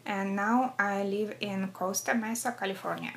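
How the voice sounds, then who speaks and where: A woman's voice: medium pitch, steady tone, moderate pace, vocal fry, a little hiss or hum. A woman in her thirties from southern Russia, with a Russian accent, talking about her own life as an interviewee. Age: 30s.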